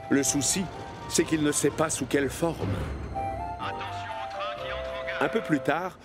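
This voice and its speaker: A man narrating a children's cartoon in French dub, with an expressive storytelling manner. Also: medium pitch.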